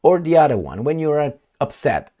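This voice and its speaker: A man's voice: a little high, big pitch swings, normal speed, lo-fi sound. A man giving casual, sarcastic commentary on news he reads aloud, breaking into asides and mock dialogue.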